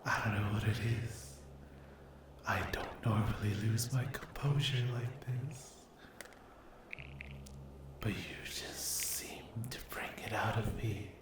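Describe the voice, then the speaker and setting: A man plays a possessive, menacing demon in an ASMR roleplay. The voice is often a breathy whisper at a medium pitch, drawn out very slowly with taunting sweet-talk. It echoes noticeably around the listener. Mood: taunting, hungry. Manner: slow, whispered, taunting endearments. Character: menacing, possessive, hungry male sleep paralysis demon. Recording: echoey reverberant voice.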